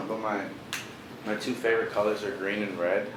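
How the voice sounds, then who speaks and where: A man's voice: lowish voice, level tone, normal speed, a crowd in the background. A young man from the Washington DC area, a visual artist chatting casually as an interview guest, laid-back and full of "bro" and "you know". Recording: echoey room with noticeable background noise.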